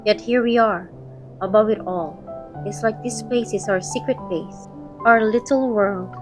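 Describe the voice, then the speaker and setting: A woman's voice: mid-range voice, a little slow, music in the background. A woman reading scripted first-person narration for a romantic audio drama, also voicing its heroine.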